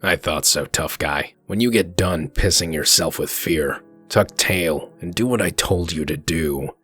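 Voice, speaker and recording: A man playing a gruff, commanding werewolf detective in a comic dub, curt and threatening, with a gravelly voice. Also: low voice, measured pace, fairly bright tone, soft background music, exaggerated voice. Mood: stern, menacing.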